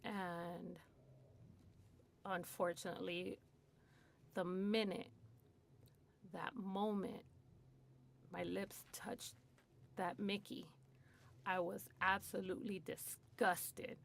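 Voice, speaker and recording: A woman from Hollywood tells her own story as a guest in a radio studio. She is chatty and candid, with many fillers, and her voice sits in an ordinary middle pitch. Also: flat delivery, takes it slowly, creaky, long pauses, a little hiss or hum. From Hollywood, USA. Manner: chatty, lots of "like" and "you know", laughs often. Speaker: guest in recovery.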